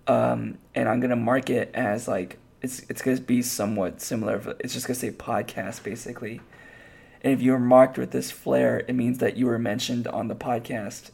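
A man with a hoarse, raspy voice, hosting a podcast and chatting casually on his own, rambling with lots of fillers and restarts. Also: mid-range voice, darkish tone. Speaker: podcast host. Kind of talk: casual solo podcast talk.

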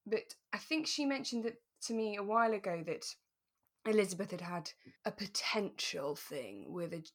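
British woman co-hosting a relaxed podcast. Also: mid-range voice, moderate pace. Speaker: podcast co-host. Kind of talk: relaxed casual chat.

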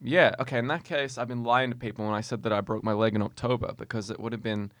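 A man's voice: mid-range voice, steady tone, fast talker, a bit bright. A man of twenty, hosting a casual radio show, rambling and self-mocking, laughing often. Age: 20s. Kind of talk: casual chat. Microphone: studio mic, moves back and forth from it.

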